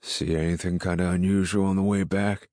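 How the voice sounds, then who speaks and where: A man voicing a gruff, ill-tempered rural farmer in an audiobook, grumbling in folksy, ungrammatical country speech. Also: low voice, little pitch movement, brisk, dark tone, no echo, studio quality, lightly acted. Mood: nosy, gruff. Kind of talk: audiobook character dialogue.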